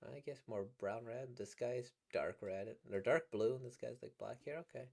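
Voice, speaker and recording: Slightly squeaky man rambling playfully. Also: moderate pace, creaky, darkish tone. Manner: rambling, playful, laughs at his own jokes. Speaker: voice actor reacting to comics. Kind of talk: casual reaction commentary.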